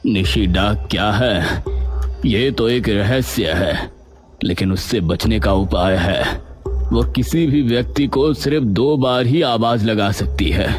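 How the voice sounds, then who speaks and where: A man playing a grave village elder who gives warnings in an animated horror story. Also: on the low side, takes it slowly, faint music behind. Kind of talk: acted animated story dialogue.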